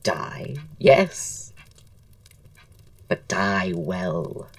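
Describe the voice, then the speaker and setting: A flamboyant Victorian aesthete with a very low voice full of creak. He speaks in florid, languid, theatrical phrases with teasing wit. It is audio drama, with the voice set back from the microphone. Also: animated, very slow, recorded from a distance, full character voice. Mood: amused, languid.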